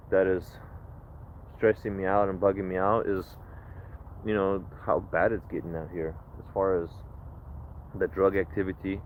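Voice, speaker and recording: A man born in Ukraine, now a livestreamer, chatting outdoors in a reflective, rambling way with many restarts. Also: low voice. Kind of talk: casual livestream chat. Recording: tinny phone-like mic with faint noise.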